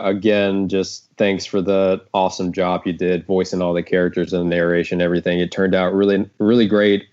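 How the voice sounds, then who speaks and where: A man's voice: low-pitched, darkish tone. A man hosting a casual group chat, talking in a self-deprecating way. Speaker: host and creator of an audio drama. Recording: dull lo-fi audio.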